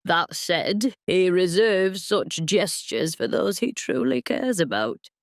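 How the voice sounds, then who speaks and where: A woman voicing a formal, dryly exasperated male household retainer in an audiobook romance, chiding with deliberate phrasing. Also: on the low side, lively intonation, vocal fry, dry recording, clean studio sound, exaggerated voice. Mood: dry, reproving.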